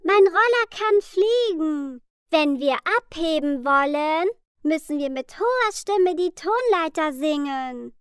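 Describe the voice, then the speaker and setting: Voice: high-pitched, lively intonation, takes it slowly, fairly bright tone, no room sound, clean studio sound, heavily acted. A performer voicing a cheerful, caring little girl in a children's educational cartoon, explaining things simply. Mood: reassuring, instructive. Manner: simple, clearly explained sentences for small children. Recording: children's music behind the voice.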